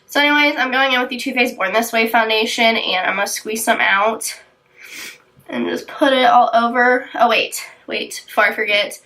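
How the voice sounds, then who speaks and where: A woman's voice: a little high, moderate pace, sharp tone. A young woman vlogger with a congested, sick-sounding voice, chatting casually with lots of ums and likes while doing her makeup. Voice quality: tight, dry throat. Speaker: beauty vlogger. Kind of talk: casual get-ready-with-me chat.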